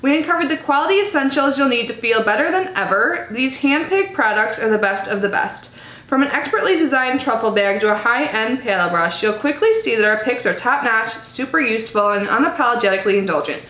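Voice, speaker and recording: A woman's voice: a little high, animated, fairly quick, fairly bright tone. Chatty nasal young woman, echoey room. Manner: chatty and bubbly, lots of likes and ums. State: nasal from allergies. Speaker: unboxing vlogger. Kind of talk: casual unboxing chat.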